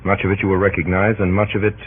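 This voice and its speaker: A man's voice: deep voice, level tone, racing, husky. A man presenting a radio programme in a formal, solemn, oratorical 1940s broadcast style. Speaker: narrator, presenter. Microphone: vintage broadcast microphone. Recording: muffled, band-limited old radio recording.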